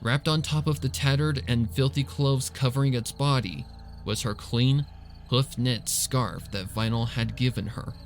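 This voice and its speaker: Man narrating a story over soft music. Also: mid-range voice, measured pace. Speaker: fan fiction reader and narrator. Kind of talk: scripted dramatic story narration.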